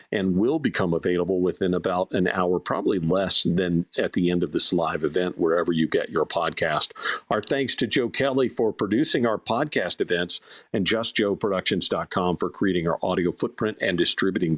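A man hosts a live audio podcast in a low voice. He runs through promotions and guest introductions with upbeat patter. The sound is dry and close. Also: a bit bright, dry recording. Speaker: podcast host. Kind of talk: live audio show hosting.

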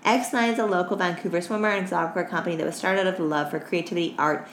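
A woman's voice: on the low side, steady tone, very fast. A tired woman co-hosting a casual podcast, chatting in a candid, informal, jokey way. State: tired after staying up all night. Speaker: podcast co-host. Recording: roomy echo, clear background noise.

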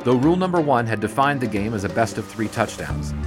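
A man reading scripted historical narration in a measured, formal storytelling manner. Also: mid-range voice, big pitch swings. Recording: music under the voice.

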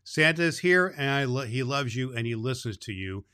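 A kindly old Santa Claus, played by a man, hosting a children's Christmas show. He talks to young listeners in a cheerful, fatherly manner, leaning on key words with folksy emphasis. His voice sits at an ordinary male pitch. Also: expressive, moderate pace, pushed and bright, clean tone. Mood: warm, encouraging. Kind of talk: storytelling and chat addressed to children.

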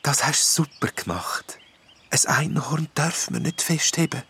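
A man voicing a gentle female forest nymph who speaks softly, half in a whisper, in a children's audio story. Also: on the high side, expressive, a little slow, husky, pitched above the performer's own voice, full character voice. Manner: soft, half-whispered, questioning.

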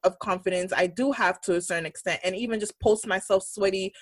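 A woman's voice: medium pitch, no room sound. A young woman co-hosting a podcast, talking openly in casual chat and running her thoughts together. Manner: open, candid, talks in quick run-on bursts. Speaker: podcast co-host.

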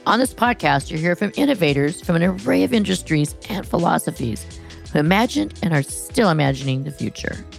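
A woman hosts a podcast, delivering her scripted intro in a measured, announcer-like way before turning to questions for her guest. Her voice sits low with a gravelly edge. Faint music plays behind her. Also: expressive. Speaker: podcast host. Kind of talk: scripted narration and interviewing.

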